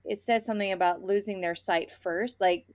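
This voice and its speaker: A woman's voice: mid-range voice, fairly even intonation, moderate pace, a little mellow. A woman co-hosting a casual book review podcast, talking candidly and animatedly as she retells her own reactions. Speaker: podcast co-host.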